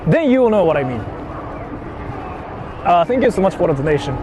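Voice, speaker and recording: A man chats loosely to his stream outdoors, his voice a touch high and bouncing up and down a lot. He rambles with plenty of "uh" and "like" and slips between English and Japanese. Clear traffic and street noise sit behind him. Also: sing-song, a little fast. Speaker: IRL livestreamer. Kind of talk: casual livestream chat while riding around a city.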